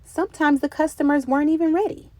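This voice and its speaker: A woman reading a picture book aloud for children, with expressive, deliberate phrasing and dramatic emphasis. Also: high voice, no echo. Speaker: children's read-aloud narrator. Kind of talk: expressive storybook narration for children.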